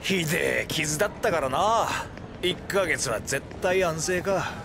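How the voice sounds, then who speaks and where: An actor playing a brash but loyal, easygoing fighter in a video game, talking in a rough, casual way. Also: male voice, fairly high pitch, animated, measured pace, music in the background. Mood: concerned. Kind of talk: Japanese video game cutscene acting.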